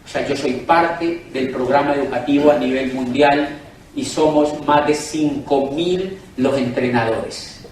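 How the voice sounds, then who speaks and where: A man's voice: mid-range voice, unhurried, a little mellow, distant mic, slight background noise. A Colombian man giving a persuasive sales presentation to a live audience, asking them questions directly. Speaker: business presenter. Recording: echoey room, speaker away from the mic.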